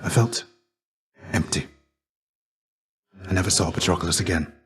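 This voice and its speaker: An actor voicing a stoic, weary dead Greek warrior and fatherly mentor in a video game, speaking in a measured, formal, restrained and gently grave way. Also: sits low. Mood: somber, regretful. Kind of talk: video game character dialogue.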